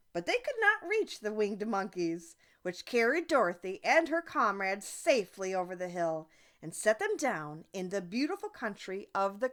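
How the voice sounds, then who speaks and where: A woman reading a children's story aloud as an audiobook narrator, expressive and deliberate. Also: mid-range voice. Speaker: audiobook reader.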